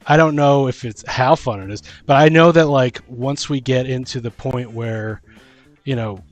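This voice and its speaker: A man co-hosting a casual chat about tabletop role-playing as a game master, thinking aloud in a halting, rambling way. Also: mid-range voice, soft background music. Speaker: podcast host and game master.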